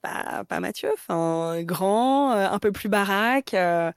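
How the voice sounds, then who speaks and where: A woman's voice: medium pitch, lively intonation, takes it slowly, vocal fry, clear, resonant tone, no echo, clean studio sound. Candid Parisian woman in her thirties. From Paris, France. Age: 30s. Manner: candid, conversational, many fillers. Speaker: interview guest. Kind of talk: candid personal interview.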